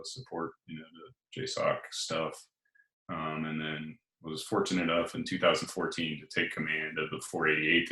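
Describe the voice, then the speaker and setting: A man in his forties, an Air Force officer and author, chatting casually as an interview guest in a deep monotone voice, relaxed and full of hesitations. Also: roomy sound, low-quality recording. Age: 40s. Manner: relaxed and hesitant, many uh fillers.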